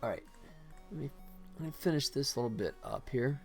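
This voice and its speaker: A man's voice: mid-range voice, expressive, fairly quick, a little mellow, faint music behind. A man livestreaming as he works, thinking aloud in casual chat, sometimes muttering to himself. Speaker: livestream host, game animator.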